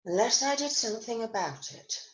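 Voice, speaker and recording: An actress playing a self-critical, wry and wistful woman recovering from an accident, telling her story in a theatrical monologue with deliberate pauses. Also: a little high, lively intonation, lightly acted. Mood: regretful, resolute. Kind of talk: dramatic monologue reading of new writing. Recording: roomy, echoing online recording.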